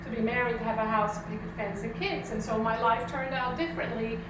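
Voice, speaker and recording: A woman's voice: on the high side, steady tone, fast. A woman from the Netherlands gives an informal, reflective spiritual sermon as a pastor, talking conversationally to her congregation. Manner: conversational, reflective, addresses listeners with questions. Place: church service hall, indoors. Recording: echoey room, distant mic, clearly noisy.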